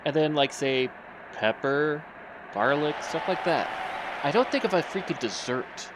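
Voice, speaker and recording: A man's voice: fairly high pitch, lively intonation, unhurried, over a noisy crowd, heavy background noise. A man with a Minnesotan accent giving casual game commentary with friends, joking and teasing as he rambles. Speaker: stream commentator. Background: game music.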